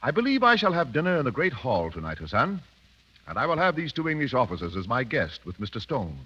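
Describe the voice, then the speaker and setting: A silky, educated mountain khan in an old radio drama. His delivery is animated and edged, with mock courtesy covering cruelty. The broadcast sounds dull and dated. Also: medium pitch, quick pace, husky, full character voice. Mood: suave, expansive. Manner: urbane, mock-courteous, menacing. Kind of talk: 1930s radio drama acting.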